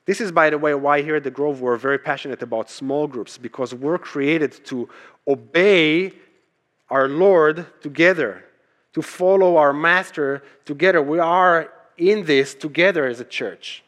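A man's voice: a little high, measured pace, a bit bright. A man who is a native Hebrew speaker, preaching as a pastor in a conversational sermon style, emphatic, repeating key phrases and posing rhetorical questions. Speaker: pastor preaching to his church.

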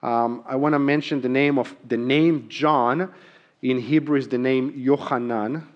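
A man's voice: mid-range voice, steady tone, unhurried, highs cut off. A man preaching a sermon as a church pastor, earnest, with frequent ums and emphatic repeated key phrases. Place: church sanctuary.

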